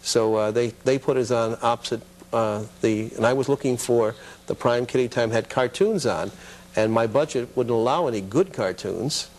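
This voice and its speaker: A man's voice: mid-range voice, moderate pace, a little mellow. A middle-aged man, a television producer, casually reminiscing as an interview guest in a studio.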